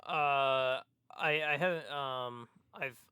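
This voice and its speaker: A man's voice: medium pitch, takes it slowly, pushed and bright, smooth, no echo, clean studio sound. A man co-hosting a casual podcast, hesitant and thinking aloud as he talks. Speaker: podcast co-host.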